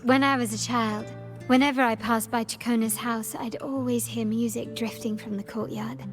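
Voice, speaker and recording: Woman doing a composed refined young lady from a video game, kinda high voice, deliberate and elegant, faint music. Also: fairly even intonation, natural voice. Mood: nostalgic.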